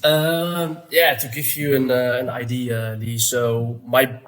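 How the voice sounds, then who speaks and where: Halting, flat-voiced young man. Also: medium pitch. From Palestinian, born in Syria, raised in the Netherlands. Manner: halting, many fillers, searches for words. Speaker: NFT project founder. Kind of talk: casual interview answers.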